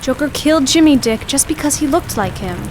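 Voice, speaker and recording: A woman's voice: high voice, expressive, a little fast, a little hiss or hum. A woman playing an anxious, insistent circus colleague in a radio drama, with a worried, pressing manner.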